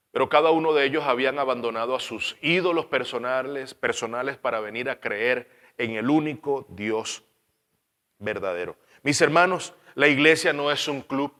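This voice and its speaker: A man from Venezuela with a Caracas accent preaching a sermon as pastor, earnest, repeating phrases and letting line ends fall quiet. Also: mid-range voice, long reverb tail.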